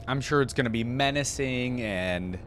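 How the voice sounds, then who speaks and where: A man's voice: medium pitch, normal speed, fairly bright tone. Male reaction host, informal and exclamatory, casual slangy delivery, music underneath.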